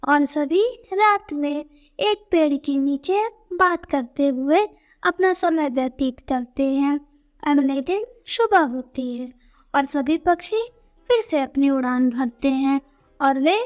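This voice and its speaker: A woman's voice: high voice, animated, slow. A woman narrating a scripted children's story as the storyteller.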